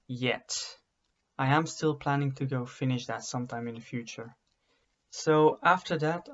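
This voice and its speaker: Young man, wry webcam vlog. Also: on the high side. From Belgium. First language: Dutch. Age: young adult. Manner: dry, self-deprecating humor. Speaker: vlogger. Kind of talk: casual self-introduction to camera. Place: bedroom study with bookshelves, indoors. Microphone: webcam at desk. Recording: dull lo-fi webcam audio.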